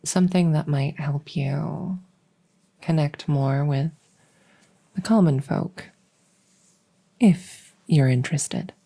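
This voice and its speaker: Woman acting a hushed, low-voiced vampire maid. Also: heavily acted. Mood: attentive, deferential. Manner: soft, breathy, deferential, unhurried formal phrasing. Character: devoted vampire maid, woman, soothing, gently teasing. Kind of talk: ASMR roleplay, intimate personal-attention audio.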